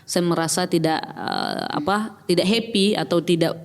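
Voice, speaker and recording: A woman's voice: on the low side, moderate pace, crowd noise. Middle-aged woman with a Batak accent. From Batak, North Sumatra. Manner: reflective, hesitant, with many fillers and restarts. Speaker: podcast guest. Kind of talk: personal testimony in casual chat. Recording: long reverb with faint music.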